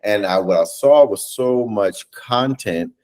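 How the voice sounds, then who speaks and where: A man with a low voice and an American accent talks in an animated, conversational way. He tells his story as an interview guest on a video call, often restarting and rephrasing his thoughts. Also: takes it slowly. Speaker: theatre director and writer, interview guest.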